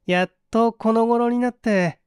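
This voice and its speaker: A man voicing a sly prisoner in a dramatised audiobook, soft-spoken, polite and ingratiating. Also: extremely high pitch, steady tone, measured pace, clear, resonant tone, no echo, studio quality, voice pushed up. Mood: slyly eager. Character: sly, meek-seeming male prisoner, coaxing and polite.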